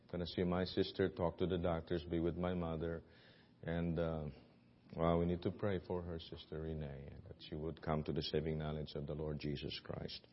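A very low-voiced man with a Filipino accent preaches in a church, earnest and full of "uh"s and restarts. His voice carries a long hall reverb, and the recording sounds dull and muffled. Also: little pitch movement, normal speed, slight background noise. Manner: earnest, with many fillers and restarts. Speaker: guest preacher. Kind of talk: sermon. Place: church sanctuary.

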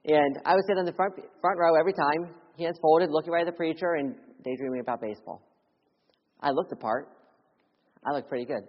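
A young man preaches with earnest urgency, his voice slightly husky as it swings from loud appeals to hushed, quiet lows. His words ring out with a long reverb through a church sanctuary. The recording itself sounds dull and muffled. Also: brisk. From Philadelphia. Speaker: missionary preacher. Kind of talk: sermon with personal testimony.

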